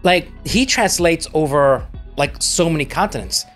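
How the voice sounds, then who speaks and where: A middle-aged man co-hosting a podcast, talking in an animated, rambling way into a studio mic in a home room. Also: on the high side, moderate pace, soft background music. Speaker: podcast co-host. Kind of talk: casual chat. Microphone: large studio mic.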